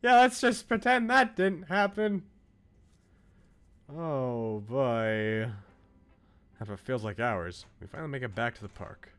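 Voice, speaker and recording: A man's voice: mid-range voice, animated, slow pace, pauses often, a bit bright, smooth. A man streaming a visual novel, reading aloud expressively and adding chatty asides. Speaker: game streamer. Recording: game music behind the voice. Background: video game music.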